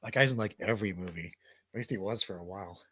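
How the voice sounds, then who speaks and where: A man's voice: deep voice, fast talker, bright, forceful tone, a little hiss or hum. A man co-hosting a livestream in casual banter, with a rambling, slangy, jokey way of talking. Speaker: livestream co-host.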